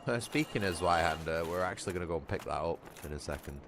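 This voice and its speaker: A man's voice: deep voice, animated, quick pace, noisy background. A man with a Manchester accent chatting bluntly and swearing while streaming a game. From England. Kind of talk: casual livestream gaming chat.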